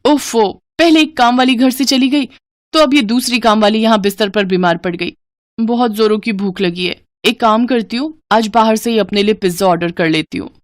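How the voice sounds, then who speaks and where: A woman's voice: a little high, normal speed, no room sound, studio quality, natural voice. A woman voicing a spoiled, haughty young rich daughter-in-law in a cartoon moral story. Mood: peevish.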